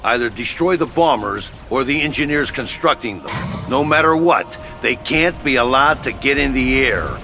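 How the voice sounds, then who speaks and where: A man's voice: medium pitch, lively intonation, normal speed, hardly any pauses, close to an everyday voice. Man as a terse military HQ officer over music. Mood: urgent. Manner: terse, informal military talk. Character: gruff, businesslike male military headquarters officer. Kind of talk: video game mission briefing. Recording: music behind the voice.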